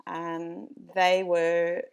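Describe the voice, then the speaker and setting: South African woman, dog breeder guest, lowish pitch, loose chatty delivery. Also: monotone, extremely slow, gravelly, clear, resonant tone. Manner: chatty, frequent ums, laughs easily. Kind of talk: casual podcast chat.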